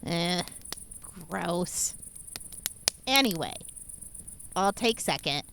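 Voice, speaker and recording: A woman's voice: on the low side, lively intonation, extremely slow, gravelly, a bit bright, a little hiss or hum, full character voice. A woman voicing a hot-tempered, foul-mouthed traveler who speaks bluntly and with exasperation. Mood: annoyed.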